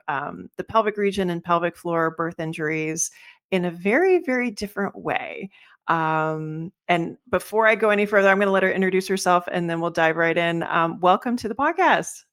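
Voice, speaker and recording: A woman hosting a podcast, reading a brisk upbeat intro and then leading the conversation with casual ums. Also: fairly low pitch, fairly bright tone, clean tone. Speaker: podcast host. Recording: faint music behind.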